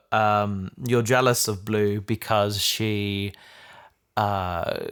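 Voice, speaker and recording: A man with a smooth, clean voice talks casually as a podcast host. His phrasing is halting and hesitant, built up in short pieces. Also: lowish voice, a bit bright. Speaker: podcast host and show creator. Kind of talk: casual chat.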